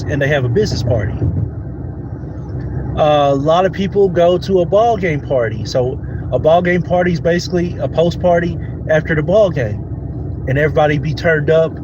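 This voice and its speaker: A man in his thirties talks through a solo podcast in a loose, rambling way, with plenty of fillers and restarts. His voice sits a little high for a man. Heavy outdoor traffic noise runs under everything he says. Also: dull audio. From United States. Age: 30s. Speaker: podcast host. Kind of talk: casual solo podcast monologue.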